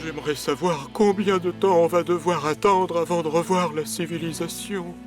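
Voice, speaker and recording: A man voicing a pompous, panicky older gentleman in a French cartoon dub, stammering and melodramatic as he grumbles. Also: on the high side, steady tone, moderate pace, music in the background, natural voice. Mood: gloomy, dramatic.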